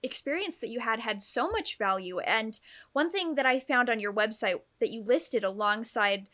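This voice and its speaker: A woman's voice: on the high side, animated, normal speed, sharp tone. A woman interviewing a guest, attentive and encouraging as she leads into her questions in a conversational way.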